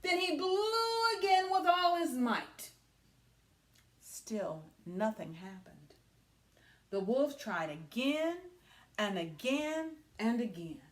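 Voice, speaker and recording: Female teacher for children's read-aloud: highish voice, unhurried, dramatic, big swings in pitch. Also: expressive, reverberant. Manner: dramatic, deliberate phrasing with hushed asides. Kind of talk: animated read-aloud storytelling for young children.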